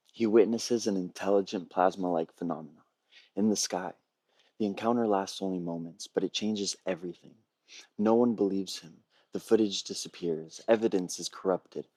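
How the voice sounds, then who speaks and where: A man presents a prepared pitch in a low register with a husky, rough-edged tone. He speaks in measured, earnest phrases, like a storyteller working from notes. The sound is dry, with very little room to it, as on a video call. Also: darkish tone, no echo. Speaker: filmmaker pitching a feature film.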